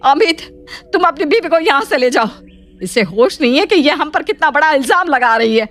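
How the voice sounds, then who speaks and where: A woman's voice: high voice, very animated, moderate pace, fairly bright tone. A woman playing an assertive middle-aged mother in a cartoon family drama, firm and persuasive. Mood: indignant.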